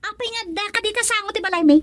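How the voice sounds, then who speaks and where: Shrieking-high, scolding woman. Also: fast, fairly bright tone. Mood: alarmed, scolding. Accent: Ilocano. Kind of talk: Ilocano radio comedy-drama acting.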